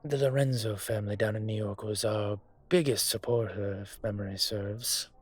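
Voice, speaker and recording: A man playing a brooding, courteous and vengeful dragon mafia boss in an intimate audio roleplay, speaking in a measured, hesitant way with a husky voice. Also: lowish voice, steady tone. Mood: somber, bitter. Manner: measured, hesitant, pausing often. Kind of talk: audio roleplay drama, intimate dinner conversation.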